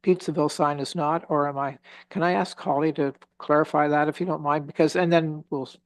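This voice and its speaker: A man chairing a council meeting as mayor, speaking in a hesitant, polite way with halting restarts into a desk microphone in a council chamber. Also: a little high, steady tone, dry recording.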